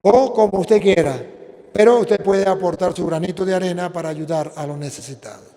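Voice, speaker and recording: Venezuelan priest preaching in a reverberant hall. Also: male voice, high voice, dark tone. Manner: preaching with slow, emphatic stresses, then plain appeal. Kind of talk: sermon and prayer.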